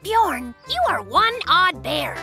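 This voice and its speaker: A cocky, excitable little polar bear from a kids' cartoon, with an extremely high voice that swings up and down. The delivery is boastful and slangy, with faint music behind it. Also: slow, gravelly, heavily acted. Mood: brash, argumentative. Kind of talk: children's cartoon dub acting.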